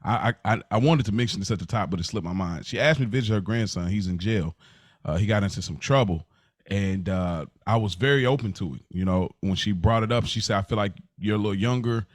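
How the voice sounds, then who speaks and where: Male American podcast host, lowish voice, dry recording, informal and sincere storyteller. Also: fast, dry recording. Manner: informal, slangy, earnest storytelling. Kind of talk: casual podcast conversation.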